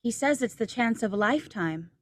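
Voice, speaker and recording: A woman's voice: a little high, expressive, brisk, no echo, lightly acted. A woman playing a sweet, teasing young small-town woman in love, acted in 1940s radio drama style. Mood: coy, nervous. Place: indoors, recorded over a video call.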